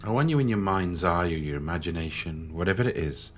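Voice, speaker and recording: A man leading a guided meditation in a slow, soothing manner with long pauses. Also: deep voice, animated. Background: background music.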